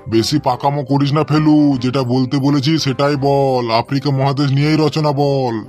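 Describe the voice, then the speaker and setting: A man's voice: medium pitch, steady tone, moderate pace, a little mellow, lightly acted. Guy doing a fed-up bengali schoolmaster in a funny cartoon, threatening and sarcastic, bit of music under it. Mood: stern. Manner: scolding, sarcastic. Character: exasperated, threatening male village schoolteacher scolding his pupils. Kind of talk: comic cartoon dialogue.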